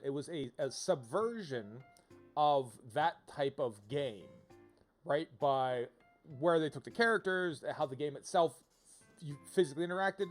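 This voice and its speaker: A man giving casual livestream commentary to viewers, thinking out loud in an informal, rambling way and often rephrasing himself. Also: fairly high pitch. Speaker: video game livestreamer, host. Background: music.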